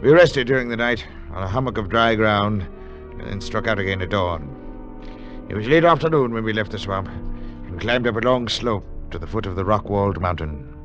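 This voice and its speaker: An actor playing a composed, resolute explorer who narrates a 1940s radio adventure in a measured dramatic way. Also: male voice, lowish voice, a bit bright. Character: composed, resolute male expedition leader recounting the adventure. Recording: dull old 1940s radio recording.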